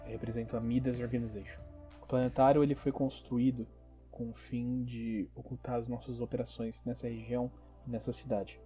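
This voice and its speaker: A man playing a measured, earnest secret agent. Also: mid-range voice, level tone, warm tone, faint music behind, close to an everyday voice. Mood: earnest, reassuring. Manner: measured, formal, reassuring. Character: calm, earnest male agent of a secret organization.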